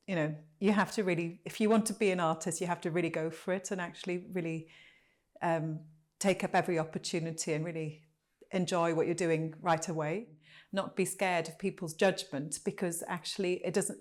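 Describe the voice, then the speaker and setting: A Welsh woman, a textile artist, talking thoughtfully and earnestly in a documentary interview, with pauses and restarts. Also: fairly low pitch, a little mellow. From Wales.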